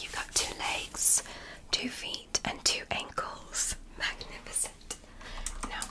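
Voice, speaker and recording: A woman whispering as a gentle alien doctor. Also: natural voice, poor audio. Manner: soft, slow, praising and reassuring. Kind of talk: ASMR roleplay.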